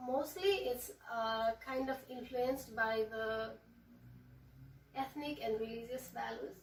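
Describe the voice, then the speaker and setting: Young woman from Nepal, fairly high voice. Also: slight background noise. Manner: measured, hesitant, with frequent fillers. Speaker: guest. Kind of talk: casual interview, explaining her culture. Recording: echoey room, voice from a distance.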